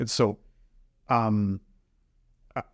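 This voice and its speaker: Earnest Ecuadorian man, lowish voice. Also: fairly even intonation, very slow, no room sound, highs cut off. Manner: earnest, hesitant with repeated words. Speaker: guest, environment director. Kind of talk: casual interview conversation.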